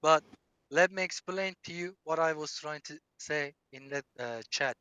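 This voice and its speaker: A man's voice: a little high, moderate pace, bright, forceful tone, clean tone, dry recording. A man from Turkey speaking in an online audio room, stammering and repeating phrases. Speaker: caller.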